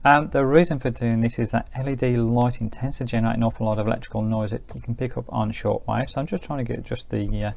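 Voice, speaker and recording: A man's voice: medium pitch, steady tone, quick pace, vocal fry, few pauses, darkish tone. A man giving a casual hands-on product review in a methodical, explanatory manner. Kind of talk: casual hands-on gadget demonstration.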